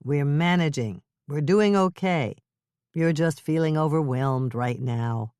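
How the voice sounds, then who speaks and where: A woman voicing a young father in an audiobook, calm and coaxing. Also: sits low, takes it slowly, smooth, no room sound, studio quality. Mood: reassuring. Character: easygoing young father, man, upbeat and reassuring. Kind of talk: audiobook character voice.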